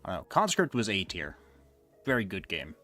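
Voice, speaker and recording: Hoarse man rambling on a live stream. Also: low voice, huge pitch swings, normal speed, sharp tone, soft background music. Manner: rambling, hesitant, many fillers. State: losing his voice. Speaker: streamer ranking games he reviewed. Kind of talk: casual live-stream chat.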